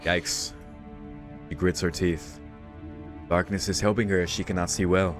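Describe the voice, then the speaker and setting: A man's voice: deep voice, level tone, measured pace. A man hosting a let's read, reading visual novel narration aloud and dropping in casual asides. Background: game music.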